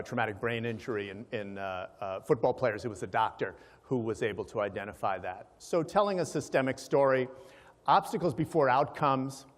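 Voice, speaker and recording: A man giving an explanatory presentation to a live audience, checking in with listeners, with hesitations as he goes. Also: medium pitch. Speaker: conference speaker, organization president. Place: large hall, live event. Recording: reverberant hall sound.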